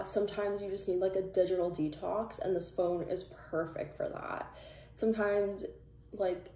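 A woman's voice: mid-range voice, mellow, slight background noise. A young woman from Canada chatting casually through a product review, rambling with many likes and ums, recorded on a camera a few feet away in a small plain room. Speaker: vlogger. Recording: roomy, distant camera sound.

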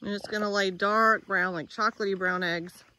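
A woman's voice: medium pitch, steady tone, normal speed, sharp tone, a little hiss or hum, low-quality recording. A woman chatting casually outdoors with her family as they move chickens. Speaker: farm wife and mother. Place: outdoors on a rural farm yard.

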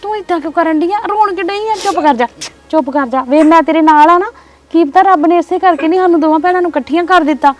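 A woman's voice: very high voice, fast. A woman acting a caring older sister who comforts and advises in a soothing, firm way. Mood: urgent. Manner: soothing, pleading, then firm advice. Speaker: actor. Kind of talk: scripted drama acting. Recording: distant mic with faint music.

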